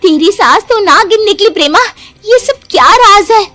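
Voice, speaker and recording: High-pitched, nosy village wife played by a woman. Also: lively intonation, moderate pace, bright, forceful tone, soft background music, full character voice. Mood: suspicious. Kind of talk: Hindi cartoon story acting.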